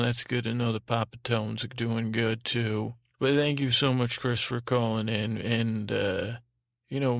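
A man hosting a podcast, talking in a meandering, halting way, drifting off on tangents and repeating himself. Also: mid-range voice, creaky, dry recording, clean studio sound. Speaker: podcast host. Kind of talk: rambling, tangential solo podcast talk.

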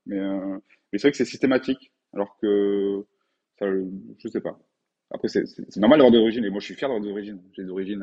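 A man's voice: deep voice, darkish tone, breathy edge, muffled recording. A man in his forties from France, talking casually as an interview guest, thoughtful and hesitant with many fillers and restarts. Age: 40s. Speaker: guest, painter.

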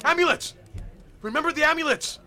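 A man's voice: extremely high pitch, a little fast, bright, forceful tone, heavily acted. A man acting in an audio drama as a zealous, hot-headed gang leader who hunts monsters, speaking with bombastic defiance. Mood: defiant.

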